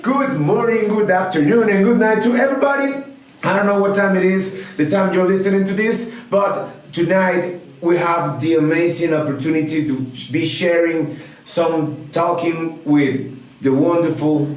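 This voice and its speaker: A man's voice: high voice, moderate pace. A man with a Mexican Spanish accent hosting a podcast in an upbeat, playful way, switching between two languages. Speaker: podcast host. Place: room in Guadalajara. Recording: very echoey room, mic far off.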